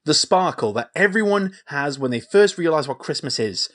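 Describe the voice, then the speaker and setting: A man's voice: a little high, expressive, normal speed, fairly bright tone. An actor playing an earnest, sentimental young man in an audio drama, speaking sincerely like a lonely true believer. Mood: earnest, pitying. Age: young adult. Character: earnest, sentimental grown man who still believes in Santa. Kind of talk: naturalistic audio drama dialogue.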